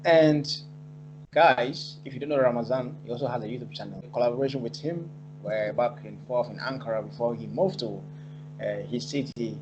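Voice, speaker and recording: A man's voice: mid-range voice, fairly quick, highs cut off. Encouraging male host, music behind. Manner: encouraging, loose phrasing. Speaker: interviewer and channel host. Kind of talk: casual interview.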